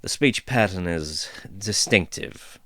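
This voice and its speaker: A man's voice: low voice, expressive, slow, pushed and bright, full character voice. A man doing a curt wary male elf.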